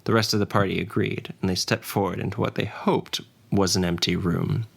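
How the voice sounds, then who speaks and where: A man's voice: lowish voice, steady tone, brisk, creaky, slightly rough. A man narrating a scripted fantasy adventure story as its dungeon master and storyteller. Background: faint ambience and music.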